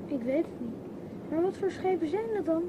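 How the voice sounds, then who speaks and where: With noise clearly behind, a woman plays a young child in a radio drama. The voice is high, full of wonder and inquisitive. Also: animated, moderate pace, dull audio. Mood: curious. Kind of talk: Dutch radio play acting. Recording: clear vehicle-like background noise.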